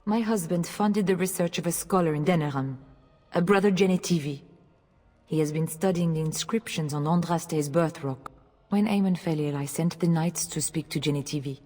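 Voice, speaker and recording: A woman's voice: low-pitched, moderate pace, a little mellow. A woman playing an anxious noble lady, a lord's wife, speaking formally in a fantasy video game. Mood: worried, earnest. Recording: hall reverb, music behind.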